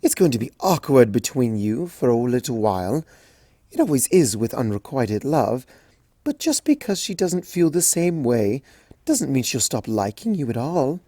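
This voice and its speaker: A woman voicing a gentle, refined unicorn mare who speaks in a posh, soothing way. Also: rumbling low, very animated, normal speed, no echo, heavily acted. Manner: posh, soothing, calls others darling.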